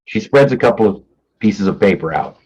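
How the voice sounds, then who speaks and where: A man's voice: fairly low pitch, big pitch swings, a little fast, poor audio. A man reading stage directions as scripted narration for a staged play reading.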